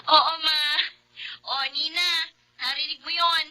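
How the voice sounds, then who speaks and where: Casting: sweet pregnant Filipino big sister, very high voice, affectionate teasing, radio drama. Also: female voice, fairly even intonation, takes it slowly, bright, forceful tone, full character voice.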